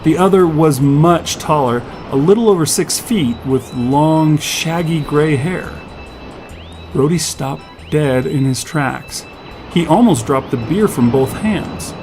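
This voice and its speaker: A man's voice: on the high side, slow, darkish tone, faint music behind. A man narrating scripted fiction as a storyteller.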